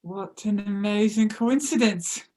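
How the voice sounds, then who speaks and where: Woman as a timid survivor, medium pitch. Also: a little slow, warm tone, lightly acted. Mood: timid, fearful. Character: Emma, timid, frightened pregnant woman survivor. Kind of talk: table read of a TV pilot script.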